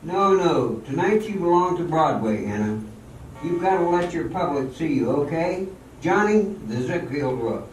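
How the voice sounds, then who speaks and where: A man's voice: a little high, expressive, moderate pace, close to an everyday voice. Man acting confident, pushy sweetheart, echoey. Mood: insistent. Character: confident, pushy, doting male show-business sweetheart. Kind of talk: amateur radio drama acting. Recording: echoey, distant mic, faint noise.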